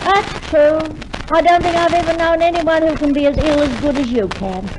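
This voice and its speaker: A woman playing a cheerful, excitable friend with an eager, exclamatory delivery in an old radio comedy. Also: high voice, normal speed, natural voice. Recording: noisy old radio show recording.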